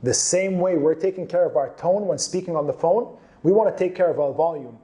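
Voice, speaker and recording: A man's voice: on the high side, fairly quick, dark tone. A man presenting career advice in an emphatic, instructive manner, stressing and repeating key points. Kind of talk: presenting instructional tips to camera.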